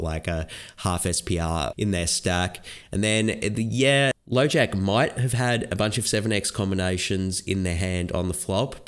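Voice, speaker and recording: Young Aussie man narrating his own poker hands. Low voice, stuffy and nasal from a cold, upbeat and chatty. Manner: upbeat, chatty, talks through reasoning. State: has a cold. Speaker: poker vlogger. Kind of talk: casual hand-by-hand poker narration.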